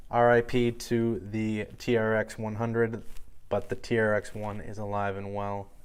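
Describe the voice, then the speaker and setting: A man giving an informal product review in a matter-of-fact, explanatory way. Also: on the low side.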